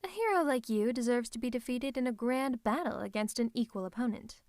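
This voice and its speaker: A woman's voice: on the high side, a little fast, a little mellow, no room sound, studio quality, natural voice. A woman voicing a theatrical, possessive female supervillain who is secretly a tender lover, in a scripted ASMR roleplay with a dramatic, haughty, teasing manner. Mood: tender, proud. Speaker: ASMR voice performer.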